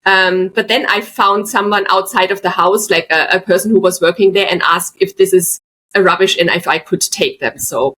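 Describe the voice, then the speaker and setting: A woman's voice: mid-range voice, normal speed, pushed and bright, slight background noise. Lady, middle-aged, chatty storyteller on a podcast, lots of little asides. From Germany, Bavaria. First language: German. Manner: chatty, tells anecdotes with frequent asides. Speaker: guest, artist. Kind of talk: casual podcast chat, storytelling.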